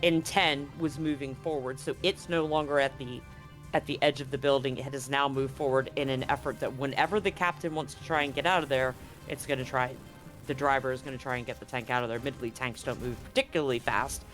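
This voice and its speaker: A man acting as game master, narrating and explaining a tabletop scene in a casual, halting way that often rephrases. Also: mid-range voice, fairly even intonation, fairly bright tone, faint music behind.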